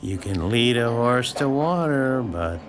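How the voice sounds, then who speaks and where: An actor playing a blunt, folksy small-town regular in an audio drama, with a wry manner. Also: male voice, medium pitch, expressive, a little slow, over music, natural voice.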